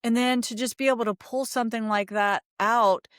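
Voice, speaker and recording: A woman's voice: a little high, steady tone, moderate pace, dry recording, clean studio sound. Effusive woman hosting a podcast. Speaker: podcast host. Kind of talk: casual conversational interview.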